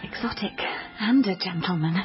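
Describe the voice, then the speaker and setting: A woman's voice: fairly low pitch, normal speed, close to an everyday voice. An actress playing a naive, dreamy, chatty young woman in a radio drama, wry and melodramatic, by turns narrating and flustered. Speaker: lead character and narrator. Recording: dull lo-fi radio sound under music.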